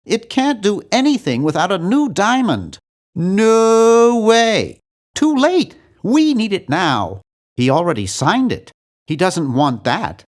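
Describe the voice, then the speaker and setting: Nerdy, excitable young game hero. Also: male voice, sits high, slow, exaggerated voice. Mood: matter-of-fact, fussy. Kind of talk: video game character voice lines.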